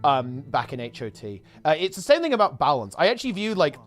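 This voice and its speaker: A man's voice: a little high, big pitch swings, fast talker, faint music behind. A man who streams games, chatting casually in a blunt, slangy, conversational way. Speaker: game streamer. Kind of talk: casual gaming chat.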